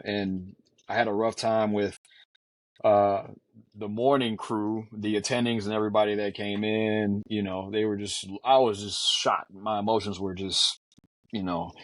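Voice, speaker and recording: A man in his forties from Houston talks through his past in an earnest, measured way, stopping on little fillers. His voice sits on the lower side. The sound is close and dry, with no room around it. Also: dry recording, poor audio. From Houston, Texas. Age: 40s. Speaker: physician guest. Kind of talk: reflective conversational storytelling.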